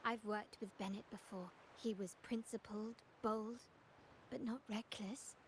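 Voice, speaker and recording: A woman playing a poised, calculating young heiress of a powerful family in a video game, speaking in a measured, formal way. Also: mid-range voice, fairly even intonation, slight background noise, close to an everyday voice, low-quality recording. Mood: grave, measured. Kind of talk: scripted game dialogue.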